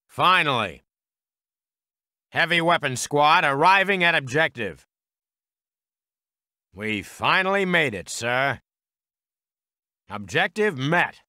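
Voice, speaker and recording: An actor playing a gung-ho American World War Two heavy weapons soldier in a strategy game, with a clipped military delivery. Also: male voice, mid-range voice, pushed and bright, clean tone, dry recording, clean studio sound.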